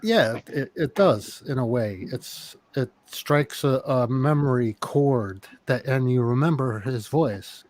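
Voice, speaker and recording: A man chatting casually on a live show in a halting, agreeable manner. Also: medium pitch, darkish tone. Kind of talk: casual chat.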